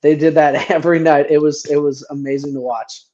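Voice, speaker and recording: A man's voice: fairly high pitch, little pitch movement, brisk, warm tone. Forties guy from canada rambling on a radio interview, laughs a lot, kinda muffled audio. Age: 40s. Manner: rambling, restarts often, laughs easily. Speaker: band member guest.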